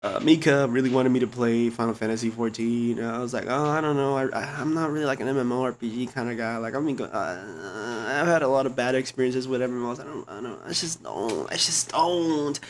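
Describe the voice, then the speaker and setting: A young man with a low, gravelly voice rambles in casual slang, stumbling over his words and starting sentences again. He talks close to a desk mic at home, and a faint hiss sits under his voice. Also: fairly quick, few pauses. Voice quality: low speaking voice. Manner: rambling, slangy, many restarts and fillers. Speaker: streamer. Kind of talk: casual chat. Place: home computer room.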